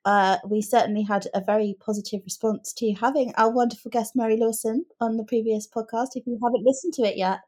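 A woman's voice: on the high side, steady tone, a little fast, hardly any pauses, a little mellow. Articulate woman, chatting about books. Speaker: podcast co-host. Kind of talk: casual book chat.

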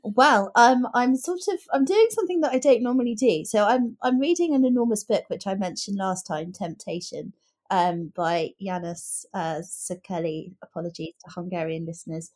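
A woman's voice: mid-range voice, moderate pace, darkish tone. A woman co-hosting a casual book chat, speaking in articulate, considered phrases. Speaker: podcast co-host.

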